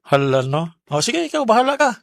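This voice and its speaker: A man's voice: high-pitched, big pitch swings, fairly quick, creaky, heavy background noise, exaggerated voice. A man with a Visayan Filipino accent playing a young, laid-back older brother who teases and banters in a radio drama. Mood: bantering. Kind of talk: Bisaya radio drama acting.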